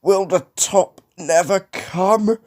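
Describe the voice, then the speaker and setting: A man's voice: medium pitch, expressive, slow pace, a little mellow, voice pushed up. A man voicing a proud, emotional and determined steam engine with a puffing, exclamatory manner for a storybook. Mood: determined effort.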